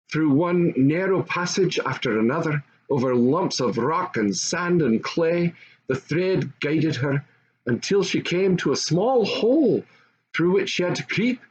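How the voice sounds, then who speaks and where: An older man reads a children's story aloud expressively, as an amateur storyteller. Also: a little high. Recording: dull lo-fi home recording, faint noise.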